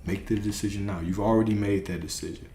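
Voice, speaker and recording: A man's voice: fairly low pitch, steady tone, fast, dark tone, roomy sound, a little hiss or hum. A man hosting a live stream, giving an emphatic spiritual pep talk and repeating his key phrases.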